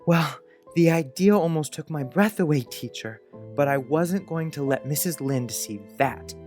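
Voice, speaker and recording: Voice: medium pitch, measured pace, darkish tone, lightly acted. Earnest little schoolboy played by an actor, music underneath. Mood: proud, confiding. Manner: earnest, wordy, quaintly grown-up phrasing. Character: earnest, chatty young schoolboy, dreamy and precocious. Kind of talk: dramatized audiobook acting.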